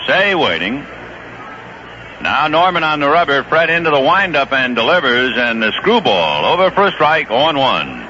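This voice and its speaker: A man doing play-by-play baseball commentary on a vintage 1970s radio broadcast, brisk and rising on big plays. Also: mid-range voice, very animated, sharp tone, dull audio, slight background noise. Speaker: play-by-play announcer. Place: ballpark broadcast booth.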